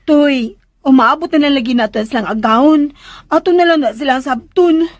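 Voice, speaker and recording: Actress as a meek, high-voiced young servant. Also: female voice, normal speed, dry recording, clean studio sound, close to an everyday voice. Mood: pained, pleading. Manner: hesitant, stammering, pleading. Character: meek, gentle young servant woman, anxious peacemaker. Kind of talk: radio drama acting in Cebuano.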